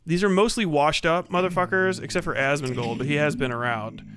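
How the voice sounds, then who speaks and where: A man's voice: fairly high pitch, fairly even intonation, brisk, fairly bright tone, smooth, no echo. Profane man muttering on a livestream. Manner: profane, muttering to himself while working. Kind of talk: casual stream commentary.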